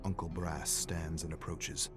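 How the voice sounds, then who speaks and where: Deep-voiced man narrating dark fiction. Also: level tone, unhurried. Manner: terse, clipped phrases, brooding delivery. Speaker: narrator of game lore. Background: background music.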